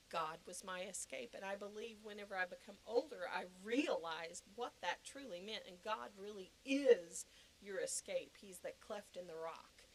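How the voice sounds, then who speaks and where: A middle-aged woman shares a personal testimony in a conversational storytelling way. Her voice sits at an average pitch for a woman. She is earnest and emphatic, often repeating phrases to drive them home. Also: a little hiss or hum, low-quality recording. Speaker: podcast guest giving personal testimony.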